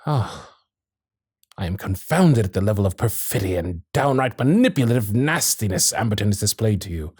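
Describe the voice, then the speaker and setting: A man playing a courteous, protective Victorian gentleman suitor in a romantic period ASMR roleplay, with formal, old-fashioned phrasing and dramatic pauses. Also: on the low side, sing-song, slightly rough, dry recording, studio quality. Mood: probing, surprised. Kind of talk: romantic period ASMR roleplay, intimate one-sided dialogue.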